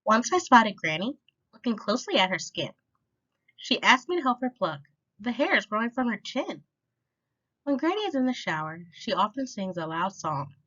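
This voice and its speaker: Expressive woman reading aloud, lively pitch. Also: normal speed. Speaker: storytime reader. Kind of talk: children's picture book read-aloud. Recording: dull lo-fi sound.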